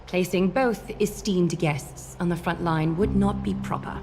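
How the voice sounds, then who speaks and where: Regal demigod leader, fantasy game, lowish voice, solemn, formal, composed, music behind. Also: female voice, fairly even intonation, darkish tone. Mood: solemn, grave. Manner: formal, measured, unexpressive.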